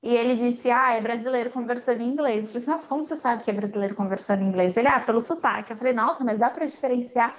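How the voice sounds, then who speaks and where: A woman's voice: fairly high pitch, very fast, hardly any pauses. A Brazilian woman with a Brazilian Portuguese accent, a guest on an interview podcast, telling her story in a chatty way with frequent fillers. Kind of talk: casual interview chat. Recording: muffled lo-fi audio.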